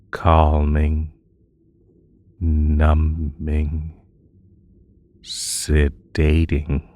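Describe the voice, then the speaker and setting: A man playing a possessive, sweetly menacing magical bunny in an intimate roleplay audio, coaxing and hypnotic in a drawn-out, soothing delivery. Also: a bass voice, warm tone, exaggerated voice. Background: music.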